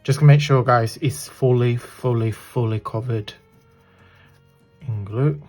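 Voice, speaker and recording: A man with a croaky voice hosting a casual craft tutorial, chatting and apologizing as he works with his hands at a desk. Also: medium pitch, slow pace, darkish tone, soft background music.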